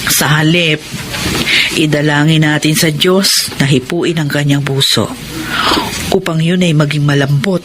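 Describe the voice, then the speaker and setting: A woman's voice: deep voice, fairly even intonation, a little slow, pauses often, noisy background. An actress playing a kind, devout, patient middle-aged mother who gives earnest counsel in a radio drama. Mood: gentle, reassuring. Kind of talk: Filipino radio drama acting. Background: music.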